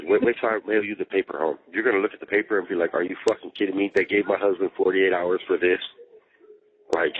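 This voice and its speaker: A man talking casually over a jail phone, venting in slangy, swear-heavy speech and repeating himself for emphasis. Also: low voice. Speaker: jail inmate on a phone call to partner. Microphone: telephone handset. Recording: muffled, band-limited recorded jail phone call.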